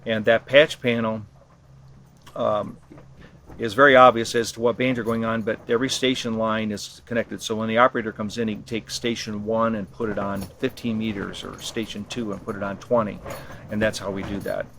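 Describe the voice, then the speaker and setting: A man giving unscripted explanations in an interview, halting and matter-of-fact in his delivery. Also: on the low side, little pitch movement, a bit bright. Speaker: expedition team member being interviewed. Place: ham radio operating station on a remote island. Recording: clear background noise, on-site ambience.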